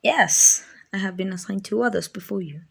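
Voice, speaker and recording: A woman voicing a gentle, doting, slightly clumsy guardian angel in a soft-spoken ASMR comfort roleplay, affectionate, hesitant and apologetic. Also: mid-range voice, normal speed, gravelly, breathy edge. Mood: fond, explaining.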